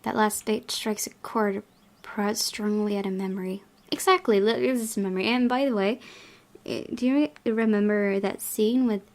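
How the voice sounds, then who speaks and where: A woman hosting a casual game playthrough, reading and commenting, thinking aloud in a halting way. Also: medium pitch, a little mellow. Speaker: gaming video host.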